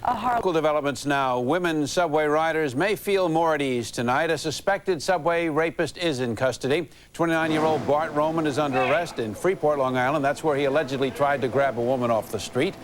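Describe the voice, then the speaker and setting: A man's voice: a little high, brisk. An American man reading scripted local news as an anchor.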